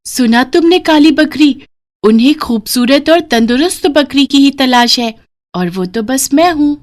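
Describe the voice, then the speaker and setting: A woman voicing a vain, boastful female goat in an expressive animated storybook style. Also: sits high, unhurried, voice pushed up. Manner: proud, expressive cartoon delivery.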